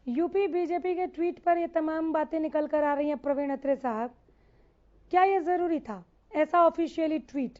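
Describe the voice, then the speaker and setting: A woman's voice: high-pitched, moderate pace. A woman moderating a television news debate as its host, addressing guests and putting questions to them. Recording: slightly dull, lo-fi broadcast audio.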